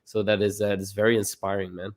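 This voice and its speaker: A man's voice: lowish voice, level tone, quick pace. A young man from Belgium, a guest on a live stream, talking in an earnest, casual way and often repeating words as he starts a sentence.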